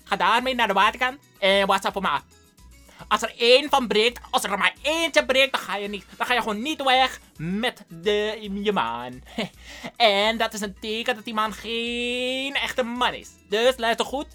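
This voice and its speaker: A man voicing a young village girl and her mother in a high falsetto, giggling and using slang in a funny fairy-tale reading. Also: measured pace, sharp tone, soft background music, heavily acted. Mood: stern, warning. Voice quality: shrill falsetto. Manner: giggly, exaggerated street slang.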